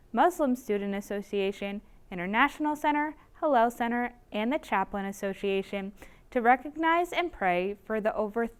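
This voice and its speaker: Smooth young woman student news anchor. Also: medium pitch. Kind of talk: student newscast reading.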